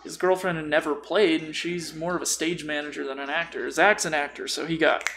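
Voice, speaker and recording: A man's voice: fairly high pitch, steady tone, brisk, a bit bright, a little hiss or hum. A man chatting casually and explaining tabletop role-playing with acted-out examples, as an experienced game master.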